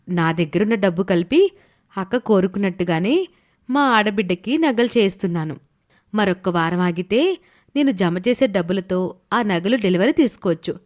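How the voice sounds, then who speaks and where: A woman's voice: mid-range voice, close to an everyday voice. A woman playing a stubborn, thrifty young village wife who explains herself earnestly in a moral story cartoon. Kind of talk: animated moral story voice acting.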